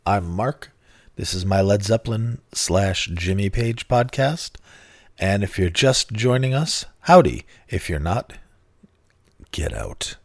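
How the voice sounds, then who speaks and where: Grumpy low-voiced man hosting a podcast. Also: very animated, takes it slowly, dry recording, studio quality. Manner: grumpy, low-energy, swears casually. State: recovering from bronchitis, deeper voice than usual. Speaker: podcast host. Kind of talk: casual solo podcast monologue.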